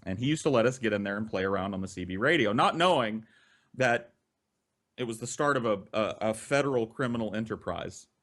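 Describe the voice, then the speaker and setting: A man's voice: on the low side, lively intonation, a little fast, fairly bright tone. A man telling comic personal stories as a podcast co-host, with theatrical emphasis and casual swearing.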